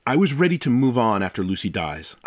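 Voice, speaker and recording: A man's voice: medium pitch, big pitch swings, brisk. A man hosting a game review, delivering scripted commentary in an impassioned, theatrical way that moves between profanity and sentiment. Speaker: video host, game reviewer.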